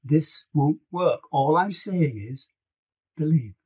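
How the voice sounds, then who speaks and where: A man's voice: on the high side, lively intonation, darkish tone, breathy edge, heavily acted. A man voicing an affectionate old grandfather, firm and reassuring, in an audiobook reading. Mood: earnest, insistent. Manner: reassuring, emphatic, deliberate. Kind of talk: acted dialogue in an audiobook reading.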